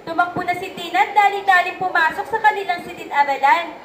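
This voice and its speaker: Casting: young woman storyteller, Filipino accent, high register, scripted read in a reverberant room. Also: a little fast, fairly bright tone. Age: young adult. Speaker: narrator. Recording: echoey room, faint background noise.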